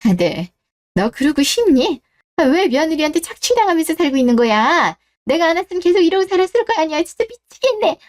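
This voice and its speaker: High-voiced, scolding woman as a domineering mother-in-law. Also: very animated, fairly quick. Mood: furious, scornful. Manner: scolding, accusatory. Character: domineering, scornful middle-aged mother-in-law, fiercely possessive of son. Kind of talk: scripted Korean online radio drama.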